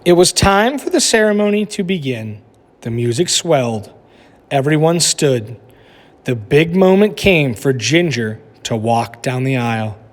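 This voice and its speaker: A man teacher reading a picture book aloud to children with animated storytelling, then asking them questions about it. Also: medium pitch. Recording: echoey room with long reverb.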